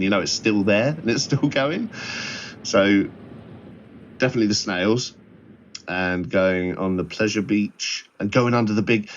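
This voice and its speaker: A man's voice: on the low side, a little hiss or hum. A middle-aged man reminiscing casually and chattily, repeating phrases for emphasis. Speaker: interviewee sharing memories. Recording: dull, slightly lo-fi sound.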